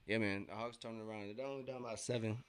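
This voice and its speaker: Low-voiced thirty-year-old man, casual sports streamer. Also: steady tone, normal speed, vocal fry. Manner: laid-back, slangy. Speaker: livestream watch-along host. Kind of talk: casual sports commentary and chat with viewers.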